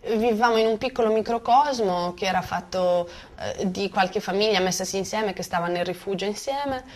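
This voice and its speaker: A woman's voice: mid-range voice, fairly quick, a little mellow. A woman from Bosnia, a native Bosnian speaker, giving spontaneous personal testimony and hesitantly searching for words. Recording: faint background noise.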